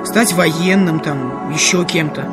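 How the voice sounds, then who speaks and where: A man's voice: a little high, takes it slowly. A male actor playing a curious young grandson in a radio play, asking questions a little hesitantly. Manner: inquisitive, hesitant. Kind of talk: conversational radio drama acting. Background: prominent new-age music.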